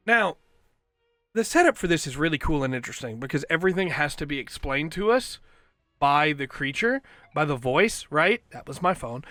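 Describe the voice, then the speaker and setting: A man hosting a podcast, giving an enthusiastic, rambling review with a voice that is slightly going hoarse. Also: a little high, bright, forceful tone, dry recording, clean studio sound. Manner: enthusiastic, rambling with fillers and restarts. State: slightly losing his voice. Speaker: podcast host reviewing an audio drama. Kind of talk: casual solo review.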